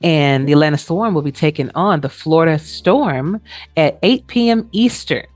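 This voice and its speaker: A woman's voice: sits low, expressive, normal speed, a little mellow. A woman co-hosting a sports show, giving a casual recap in informal, colloquial phrasing. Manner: informal, colloquial phrasing with trailing "you know". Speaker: co-host of a sports league show, also a player. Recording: roomy echo, music behind.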